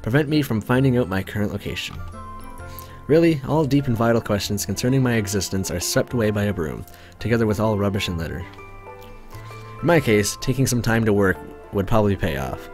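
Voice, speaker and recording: Lively Canadian man reading a story game. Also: on the low side, a little fast, faint music behind. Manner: casual, joking, self-interrupting. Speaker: let's play narrator. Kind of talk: reading a visual novel aloud with asides.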